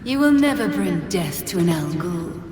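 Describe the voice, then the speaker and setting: An actress playing a cold, proud, lethal assassin leader in a video game, with an imperious, deliberate delivery. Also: female voice, fairly low pitch, animated, close to an everyday voice. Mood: menacing. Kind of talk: dramatic video game acting. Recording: echoey hall, loud clashing noise.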